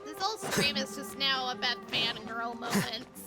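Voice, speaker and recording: High-pitched, excitable young woman streamer. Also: normal speed. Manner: excitable, gushing fangirl. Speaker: live game streamer. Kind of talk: casual livestream chat. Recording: music behind from game stream.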